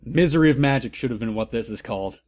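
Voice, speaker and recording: A man's voice: medium pitch, a little mellow, no room sound. Theatrical male narrator, dry close sound. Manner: theatrical, mock-serious, deliberate emphasis. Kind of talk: comedic dramatic reading of fan fiction.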